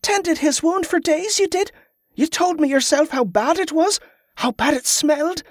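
Performer voicing Molly, a high-pitched, fervent farm girl. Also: moderate pace, dry recording, studio quality, close to an everyday voice. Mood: insistent, pleading. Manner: inverted rustic phrasing, fervent. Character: Molly, defiant young farm girl, earnest believer.

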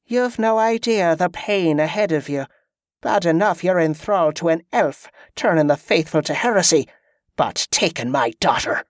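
A man's voice: a little high, animated, normal speed, dry recording, clean studio sound, natural voice. Man voicing a ruthless woman commander. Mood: menacing. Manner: cold, clipped, threatening.

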